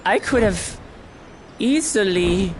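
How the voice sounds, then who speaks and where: Brash warrior, lively swooping voice. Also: female voice, medium pitch, slow pace, slight background noise. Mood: proud. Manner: brash, confident. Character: bold, boastful female warrior on a hunt. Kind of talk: video game voice acting.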